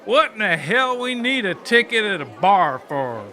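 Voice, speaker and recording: High-pitched brash country roughneck. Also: male voice, very animated, unhurried, pushed and bright, exaggerated voice. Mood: indignant. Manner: folksy drawling insults and colorful country sayings. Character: brash, crude, cackling country cowboy killer, blind. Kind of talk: audiobook character acting.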